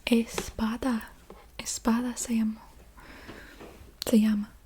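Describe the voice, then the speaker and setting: A woman doing soft-spoken ASMR, casually rambling in a breathy whisper with a hesitant, playful manner and softly repeated words. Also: fairly high pitch, flat delivery, slow pace, a little hiss or hum. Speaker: ASMR creator.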